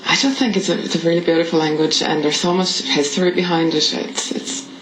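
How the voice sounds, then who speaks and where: A woman's voice: lowish voice, steady tone, brisk, echoey room, noisy background. A woman from Brazil, a studio guest answering hesitantly and restarting words. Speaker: guest, Irish learner.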